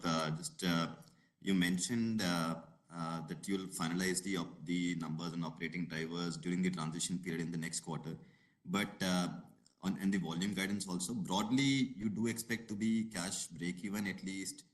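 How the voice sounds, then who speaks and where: Deep-voiced male analyst asking questions. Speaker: equity analyst caller. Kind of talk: asking questions on an earnings call.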